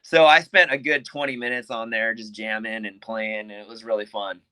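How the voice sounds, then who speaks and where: A man telling stories as a podcast guest, animated and casual, peppering his talk with "dude" and "like" and swearing freely. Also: lowish voice, moderate pace, smooth, no room sound. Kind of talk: casual storytelling.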